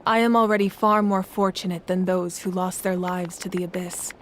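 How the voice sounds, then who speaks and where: A woman's voice: lowish voice, fairly even intonation, normal speed, slight background noise, natural voice. A woman playing a composed, resolute warrior goddess who rules a nation, speaking formally and solemnly in a video game.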